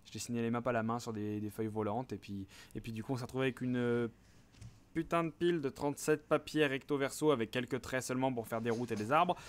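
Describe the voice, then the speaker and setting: A man's voice: mid-range voice, fairly even intonation, fast talker. Young man, slangy rambling casual chat. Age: young adult. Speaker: co-host.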